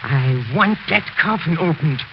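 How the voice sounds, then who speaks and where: Haunted, obsessive widower in muffled old radio. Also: male voice, a little high, expressive, a little slow, gravelly. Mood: frantic insistence. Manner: intense, insistent, haunted. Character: grief-crazed, obsessive widower awaiting his dead wife. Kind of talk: 1940s radio horror acting. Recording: noisy, muffled 1940s radio recording.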